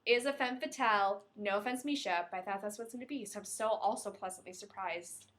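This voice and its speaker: A woman's voice: medium pitch, fast, a bit bright. A woman co-hosting a podcast, chatting casually and enthusiastically about a book. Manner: enthusiastic, gushing. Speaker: podcast co-host. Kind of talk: casual book-discussion chat.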